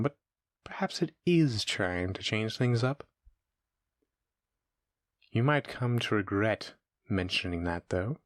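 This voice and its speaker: A man playing a sadistic, playful shape-shifting monster who muses and taunts with unhurried menace in a horror audio roleplay. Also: fairly low pitch, no room sound, studio quality. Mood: musing, taunting.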